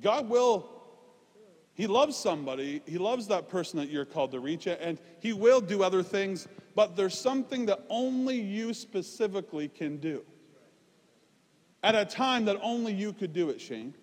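A man preaching a conversational, informal sermon, emphatic at points and dropping into quieter, self-deprecating asides. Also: high-pitched, expressive, normal speed. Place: large reverberant room, preaching live. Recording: reverberant hall sound.

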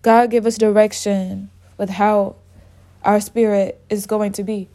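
Casting: woman podcast host, slow unhurried delivery, slightly sniffly from a cold, candid and informal. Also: mid-range voice, flat delivery, mellow. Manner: candid, informal, talks straight to listeners as y'all. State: a little sick, sniffly. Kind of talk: spiritual podcast monologue.

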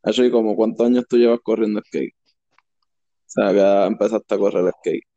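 Young puerto rican host, 21, low mellow voice, easygoing slang, muffled dry sound. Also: male voice, fairly even intonation, normal speed, no echo. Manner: easygoing, slangy, laughs often. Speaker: podcast host. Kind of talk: casual interview.

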